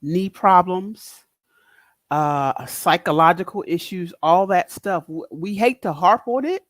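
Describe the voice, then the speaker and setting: A middle-aged woman from the Northeast US with a big, deep, loud voice, talking candidly and directly in a casual podcast chat as a guest speaker. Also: slow pace, no room sound, clean studio sound. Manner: direct, candid, laughs often.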